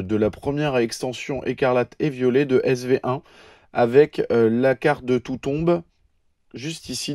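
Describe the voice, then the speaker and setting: A man's voice: medium pitch, dry recording, clean studio sound. Man with a cold hosting an unboxing. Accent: French. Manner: hesitant, frequent filler sounds; lists cards in a steady patter. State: has a cold. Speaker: YouTube channel host. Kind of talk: casual card unboxing commentary.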